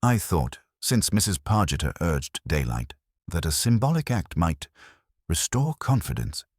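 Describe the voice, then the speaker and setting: A man plays a gently evasive village vicar in a mystery story. The voice is extremely deep yet moves about in pitch. He is wordy and mild, forever apologising for himself, and recorded dry and close. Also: sing-song, measured pace, husky, no echo, exaggerated voice. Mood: nervous. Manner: wordy, mild, self-deprecating.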